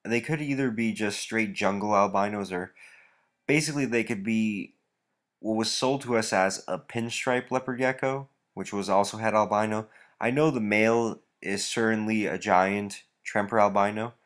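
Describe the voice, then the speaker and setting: Man, low-pitched, stuffed-up nose, relaxed rambling talk, vlog walkthrough. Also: moderate pace. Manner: relaxed, rambling, many fillers. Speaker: reptile breeder.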